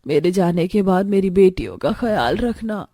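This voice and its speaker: A woman's voice: lowish voice, animated, normal speed, mellow. A woman voicing a dying mother, faltering and pleading, in a cartoon story. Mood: weak, pleading. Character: dying village mother, weak and anxious for daughters.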